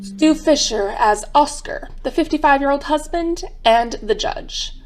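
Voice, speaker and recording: A woman narrating a radio mystery in a measured, formal, dramatic storytelling style. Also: high voice, animated, faint music behind, low-quality recording.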